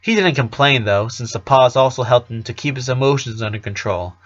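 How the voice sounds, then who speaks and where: A man's voice: mid-range voice, lively intonation, a little fast, a bit bright. A man reads a story aloud as its narrator, in a mid-range voice. The recording is a bit dull and lo-fi, as if made at home. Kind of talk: reading fan fiction aloud.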